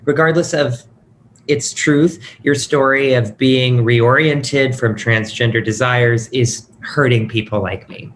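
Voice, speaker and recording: A man's voice: medium pitch, slow pace, a bit bright. Wounded, confrontational challenger played by a man, mid-range voice, dramatic table read with faint background noise. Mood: hurt, confrontational. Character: hurt, outspoken transgender person confronting a preacher.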